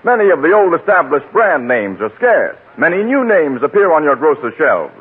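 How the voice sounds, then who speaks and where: A man's voice: fairly high pitch, very animated, normal speed, husky. An American man working as a radio announcer, reading a script in polished 1940s broadcast style. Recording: tinny 1940s radio with faint music.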